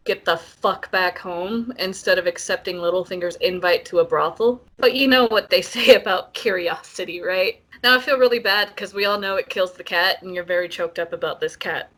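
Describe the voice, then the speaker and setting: A woman's voice: on the low side, moderate pace, few pauses, a bit bright. A woman co-hosting a casual book discussion, talking in an informal, wry way. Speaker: podcast co-host.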